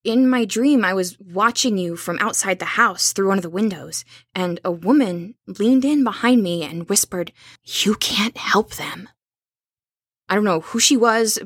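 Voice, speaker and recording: A woman acting an anxious, resolute young woman in an audio horror drama, speaking in a husky, earnest, urgent hush. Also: mid-range voice, no echo, studio quality.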